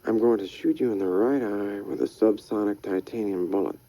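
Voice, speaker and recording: A man voicing a cold gunman who speaks quietly and stresses every word the same. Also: fairly low pitch, a little fast, no room sound, lightly acted. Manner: flat, evenly stressed, quiet. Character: cold, smiling gunman, flat and menacing.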